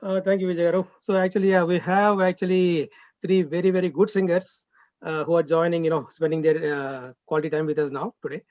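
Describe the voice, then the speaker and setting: A man's voice: a little high, level tone, brisk, a little mellow, no room sound. A man, a school director giving a hesitant event speech over a video call. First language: Telugu. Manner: hesitant, many fillers. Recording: dull, dry video call audio.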